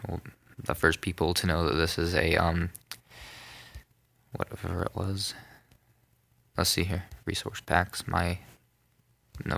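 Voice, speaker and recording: A young man narrating a casual phone tutorial, rambling, with many ums and self-corrections, in a soft voice. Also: low voice, gravelly, no room sound. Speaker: tutorial maker. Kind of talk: casual screen-recorded tutorial.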